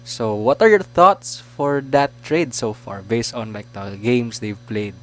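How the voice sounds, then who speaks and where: A man about twenty with a Filipino accent, hosting a casual sports podcast, chatty and quick to laugh. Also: medium pitch. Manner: chatty, laughs often, trails off. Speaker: podcast host.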